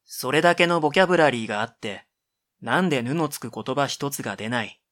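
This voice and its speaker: A man's voice: medium pitch, animated, a little fast, fairly bright tone, lightly acted. A man voicing a dry-witted, exasperated middle-school boy who narrates and makes matter-of-fact retorts in a comedic radio drama.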